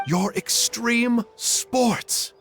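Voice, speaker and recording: A man's voice: very high voice, drawn-out words, a bit bright, soft background music, exaggerated voice. A man voicing a playful, self-dramatizing storytelling uncle who coaxes children theatrically in an anime game. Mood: playful, coaxing. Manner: theatrical, coaxing.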